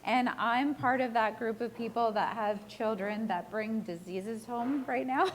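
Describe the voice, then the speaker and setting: Hoarse chatty woman giving a talk. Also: a little high, unhurried, poor audio. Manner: chatty and self-deprecating, asks the room questions. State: hoarse, borderline losing her voice. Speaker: real estate agent giving a talk. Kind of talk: casual live presentation to a room.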